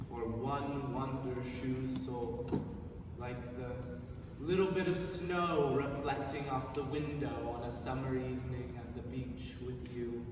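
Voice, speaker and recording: A man giving a measured, incantatory live reading of a lyrical, poetic monologue. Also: mid-range voice. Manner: measured, deliberate, incantatory recitation with repeated phrases. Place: large reverberant museum space, live, distant mic. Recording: distant, noisy, very echoey museum hall.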